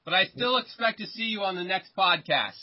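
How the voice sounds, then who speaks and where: Young man, 19, fairly high voice, husky, stammering, muffled lo-fi sound. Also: animated, a little fast. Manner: hesitant, stammering restarts. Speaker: podcast host. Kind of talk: casual chat among friends.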